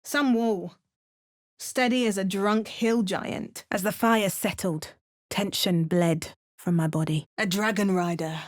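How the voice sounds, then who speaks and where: A woman acts out a determined adventurer from a fantasy role-playing game. She is wry and measured, with a theatrical edge. The sound is dry and close, with no room around it. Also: medium pitch, steady tone, no room sound, close to an everyday voice. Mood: dramatic, measured. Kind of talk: video game voice lines, dramatic narration and incantations.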